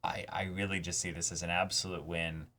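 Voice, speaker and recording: A man's voice: sits low, flat delivery, a little fast, pushed and bright, slight background noise. A man co-hosting a reaction show, talking in a casual, emphatic, enthusiastic way like an eager fan. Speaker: co-host of an anime reaction channel. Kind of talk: casual chat, reaction discussion.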